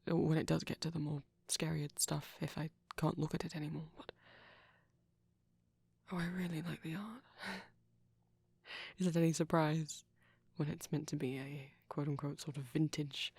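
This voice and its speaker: A close, dry recording of a man playing a shy young vampire boyfriend in an ASMR roleplay. His voice is soft and breathy with a creaky edge, often dropping to a whisper. He is gentle and nervous, rambling hesitantly and apologetically. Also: on the high side, level tone, moderate pace, dry recording. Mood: anxious, earnest. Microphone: close microphone.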